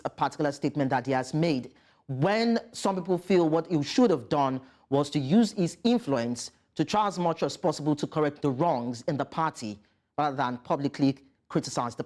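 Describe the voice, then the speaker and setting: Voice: mid-range voice, a little mellow. A news anchor presenting reports and putting formal questions to a guest in a live interview.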